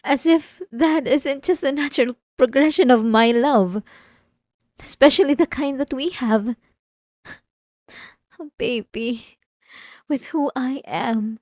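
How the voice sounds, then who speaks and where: Casting: woman to play delusional, possessive wife for ASMR drama. Higher voice, unhurried halting delivery, sweetly menacing. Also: darkish tone, dry recording, studio quality. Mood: wounded, obsessive. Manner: halting, repeating words, coaxing and manipulative. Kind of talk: intimate ASMR roleplay monologue.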